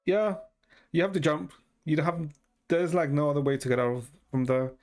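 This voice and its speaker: A man's voice: fairly high pitch, fairly quick, darkish tone. Casual man thinking aloud over music. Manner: hesitant, thinking aloud, mild swearing. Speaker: game streamer. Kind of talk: casual live stream chat with viewers. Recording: music playing behind the voice.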